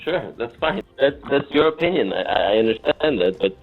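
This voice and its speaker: A man's voice: medium pitch, very animated, normal speed, faint music behind. A man on a phone call, defending himself in a restrained way and stammering over his words. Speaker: company owner taking a call. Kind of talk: tense phone argument. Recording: tinny phone line.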